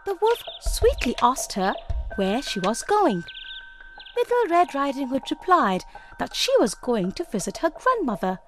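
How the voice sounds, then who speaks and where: A woman's voice: sits high, big pitch swings, measured pace. A woman narrating scripted fairy tales for young children as a storyteller. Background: music.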